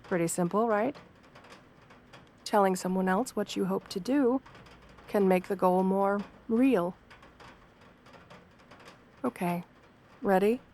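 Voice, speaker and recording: A woman plays a kind wolf-girl therapist in an audio roleplay. Her voice is soft and mid-pitched, with a measured, soothing delivery. She sounds professional and reassuring, guiding a patient gently. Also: a little hiss or hum. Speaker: therapist character speaking to a patient. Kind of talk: ASMR roleplay, guided affirmations.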